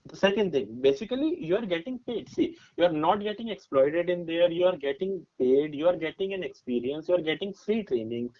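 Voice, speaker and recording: A man's voice: fairly high pitch, expressive, brisk, few pauses. A man in his twenties with an Indian accent, giving career advice as a podcast guest in an emphatic, explanatory conversational way, often checking that he is followed. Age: 20s. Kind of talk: conversational advice with personal examples. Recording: dull, muffled lo-fi audio.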